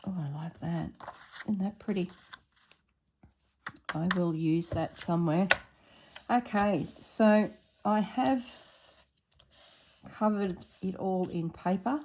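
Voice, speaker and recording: A woman with a slightly husky voice narrating a casual craft tutorial at her table, thinking aloud as she works. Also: lowish voice, a little mellow, slight background noise. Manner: unhurried, thinks aloud while working. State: recovering from illness, slightly husky throat. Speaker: craft vlogger.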